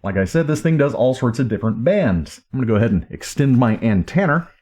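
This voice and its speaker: A man with a mid-pitched voice walks through a product in a casual hands-on review. His delivery is informal and off the cuff, like someone showing a gadget to viewers. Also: lively intonation, quick pace, a little mellow. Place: indoors at home. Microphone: camera or recorder microphone.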